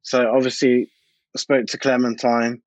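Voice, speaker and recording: A man's voice: mid-range voice, level tone, normal speed, no room sound. A British man talking casually and anecdotally as a DJ and producer being interviewed, in informal, colloquial speech. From United Kingdom. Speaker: interview guest, DJ and music producer.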